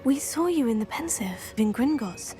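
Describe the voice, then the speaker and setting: A woman's voice: fairly high pitch, lively intonation, normal speed, mellow, soft background music, natural voice. An actress playing a polite, dutiful teenage female student in a fantasy video game. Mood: polite, attentive. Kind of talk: video game cutscene acting.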